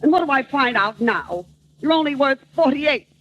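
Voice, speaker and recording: An actress playing a haughty, scheming, glamorous gold-digging wife in a theatrical radio comedy style. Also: female voice, high-pitched, animated, normal speed. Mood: impatient, haughty. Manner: theatrical, coolly melodramatic. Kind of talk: old-time radio comedy acting. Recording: noisy muffled old radio, loud studio audience.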